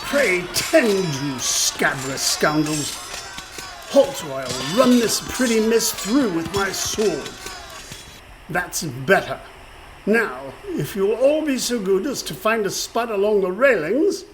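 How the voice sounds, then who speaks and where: An actor playing a blustering, villainous pirate captain in a radio play, with a pompous, theatrical, mock-courteous delivery. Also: male voice, high-pitched, sing-song, takes it slowly, music in the background, full character voice, poor audio. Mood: smug, menacing. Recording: faint background noise.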